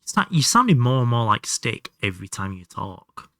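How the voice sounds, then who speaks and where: A man's voice: mid-range voice, sing-song, normal speed, sharp tone, no echo, clean studio sound. A man doing casual live game commentary and chatting to viewers, sweary and self-deprecating. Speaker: live streamer playing a video game.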